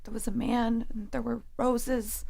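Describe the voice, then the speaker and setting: High, creaky young college woman. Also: level tone, measured pace, mellow. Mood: shaken, distressed. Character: lovestruck, haunted young female college student.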